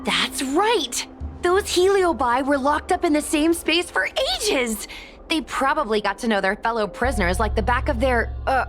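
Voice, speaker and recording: An earnest young woman investigator from a video game. Her voice is a little high and sensible, with soft music underneath. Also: lively intonation, normal speed. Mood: excited. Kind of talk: video game dialogue acting.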